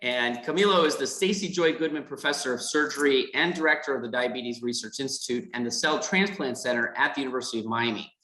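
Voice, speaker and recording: A man's voice: mid-range voice, quick pace, hardly any pauses, bright, forceful tone, roomy sound. A man hosting a foundation event on a video call, reading prepared remarks with fillers and repeated words. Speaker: foundation host and interviewer. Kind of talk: scripted introduction.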